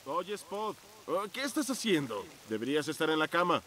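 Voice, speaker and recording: A man's voice: fairly high pitch, very animated, normal speed. A man voicing a kindly, affectionate father in a preschool cartoon, with music behind him. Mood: fatherly, mild. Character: kindly father of small children, calm and affectionate.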